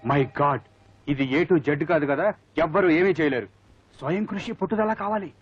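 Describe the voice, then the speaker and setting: A man's voice: fairly high pitch, a little slow, slightly rough, faint music behind. A man playing a boastful, emphatic odd-job service man in a film comedy. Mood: exasperated. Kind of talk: Telugu film comedy acting. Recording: dull film soundtrack.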